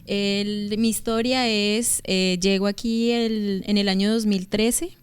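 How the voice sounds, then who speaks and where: A woman's voice: medium pitch, fairly even intonation, slow, clean tone. A woman in her thirties from Bogotá with a Bogotá Colombian accent, talking as a guest on a television interview, chatty and full of hesitant fillers and restarts. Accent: Bogotá Colombian Spanish. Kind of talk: conversational interview testimony. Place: TV studio.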